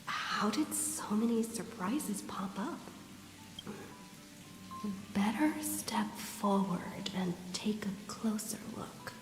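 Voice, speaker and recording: A woman's voice: mid-range voice, animated, slow, whispered. A woman playing a curious, plucky young traveler who muses aloud in a video game. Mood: puzzled. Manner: musing aloud to herself. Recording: echoey hall reverb, music behind.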